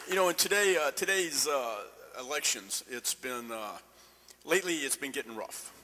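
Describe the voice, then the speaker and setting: Male radio host, mid-pitched and bright, informal public address, echoey meeting room. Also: lively intonation, normal speed.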